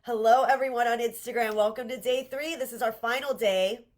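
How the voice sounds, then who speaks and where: A woman's voice: a little high, fairly even intonation, brisk. A congested woman with a cold, leading a live-streamed manifestation workshop in an earnest, encouraging way and often restarting her sentences. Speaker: manifestation coach hosting a livestream workshop. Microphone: livestream camera mic.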